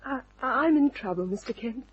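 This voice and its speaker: Breathy, anxious femme fatale. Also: female voice, sits high, expressive, normal speed, lo-fi sound. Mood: demure, anxious. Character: alluring, anxious young female client in trouble. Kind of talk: old-time radio crime drama acting.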